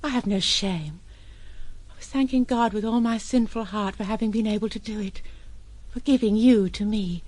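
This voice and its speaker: A woman's voice: medium pitch, moderate pace, darkish tone, dry recording, close to an everyday voice. An actress playing a devoted young woman in love in an audio drama, earnest, fervent and vulnerable. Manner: earnest, impassioned, faltering.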